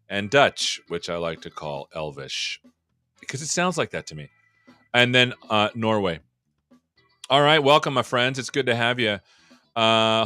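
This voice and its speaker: A man's voice: on the low side, bright, forceful tone, dry recording. A man hosting a live tech show, chatting casually and joking as he welcomes viewers. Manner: jokey, rambling and upbeat. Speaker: show host. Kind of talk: casual live-stream chat.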